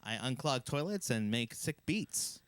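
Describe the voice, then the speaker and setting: Woman, stream host, very low pitch, lively intonation, playful casual chat. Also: measured pace, dry recording. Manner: playful, laughs easily. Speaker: livestream host. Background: faint video game music.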